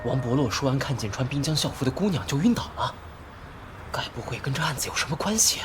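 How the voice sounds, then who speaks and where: Man acting fast-talking junior police officer. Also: medium pitch, very animated, background noise, lightly acted. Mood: puzzled. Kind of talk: animated drama voice acting.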